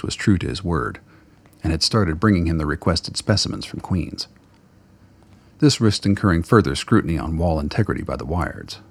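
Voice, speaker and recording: A man narrating a radio play in a scripted, dramatic storytelling style with a gravelly, husky voice. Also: sits low, no echo.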